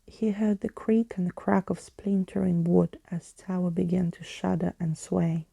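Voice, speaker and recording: A woman gives a gentle ASMR storybook reading. Her voice is very mellow and breathy, with creaky edges. She keeps the delivery hushed and soothing, as if for bedtime. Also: lowish voice, little pitch movement, moderate pace, no echo. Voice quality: soft, breathy. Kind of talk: soft-spoken and whispered ASMR storybook reading.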